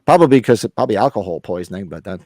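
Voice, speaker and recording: American man, rapid rambling delivery, blunt and sweary, recovering voice, dry close sound, casual podcast host. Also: on the low side, lively intonation, warm tone, dry recording. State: recovering from a lost voice. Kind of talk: casual chat about horror movies.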